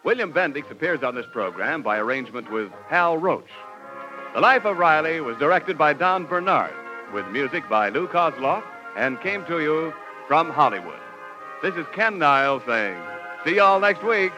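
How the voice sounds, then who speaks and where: A man's voice: fairly high pitch, lively intonation, normal speed. A man with an American accent announcing and reading sponsor copy in 1940s radio style. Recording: muffled 1940s radio recording with music.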